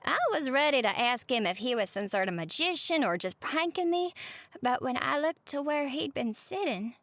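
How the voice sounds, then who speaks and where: A woman playing a cheerful, chatty waitress on a diner night shift in a roleplay audio, with a Southern US accent and a folksy, expressive manner. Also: high voice, moderate pace, no room sound. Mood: storytelling, intrigued. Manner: folksy, expressive, uses pet names. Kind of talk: roleplay monologue to the listener, chatty storytelling.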